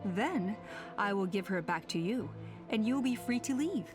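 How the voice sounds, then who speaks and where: A woman playing a calculating clan leader and villain in a video game, measured, condescending and commanding, with music behind her. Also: mid-range voice, expressive, natural voice. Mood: smug, persuasive. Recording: game dialogue over background music.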